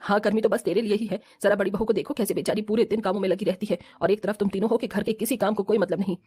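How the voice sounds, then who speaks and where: A woman's voice: medium pitch, fairly even intonation, rapid-fire, warm tone, slightly rough, lightly acted. A woman playing a stern, righteous mother-in-law in a cartoon family drama, scolding and lecturing in a firm manner.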